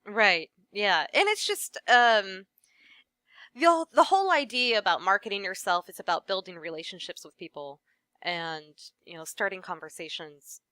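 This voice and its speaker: A woman voice actress, a guest in a casual interview, giving thoughtful advice and often trailing off softly at the ends of her points. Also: medium pitch, expressive, normal speed, dry recording, clean studio sound.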